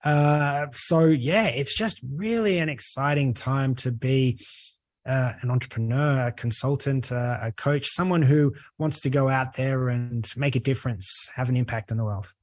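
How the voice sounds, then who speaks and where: A man with an Australian accent, a guest on a talk show, speaking earnestly and relaxed, with many "uh" fillers. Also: mid-range voice, measured pace, no room sound. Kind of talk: podcast interview.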